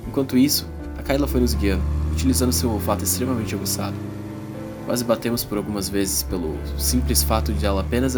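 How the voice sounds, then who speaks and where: A man's voice: fairly low pitch, natural voice. Guy playing brazilian schoolboy telling his fantasy adventure, audiobook drama, bit uneasy, music under it. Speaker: first-person narrator.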